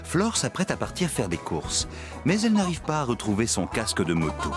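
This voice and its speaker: A cheerful storyteller narrates a children's cartoon. His delivery is animated and melodic, with music clearly playing behind him. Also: medium pitch, a little fast.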